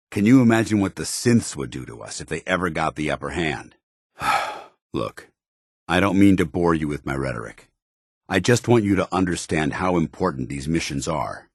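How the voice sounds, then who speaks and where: An actor playing a stern, loyal, zealous soldier in a video game, speaking gruffly with earnest, clipped military formality. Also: male voice, extremely low pitch, no echo, studio quality, exaggerated voice. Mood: grave. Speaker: companion soldier character.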